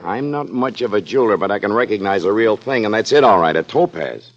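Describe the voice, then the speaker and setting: On a muffled fifties radio recording, a policeman at a crime scene. He has a lowish voice and a casual, folksy, matter-of-fact delivery. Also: animated, fast. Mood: easygoing, businesslike. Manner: casual, plain-spoken. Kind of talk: 1950s radio crime drama acting. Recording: muffled vintage radio broadcast.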